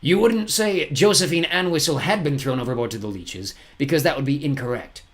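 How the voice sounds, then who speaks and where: Storybook villain: menacing one-eyed captain, sneering and theatrical, animated delivery, played by a man. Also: fairly high pitch, fast talker, pitched above the performer's own voice. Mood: sinister. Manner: mocking, theatrical.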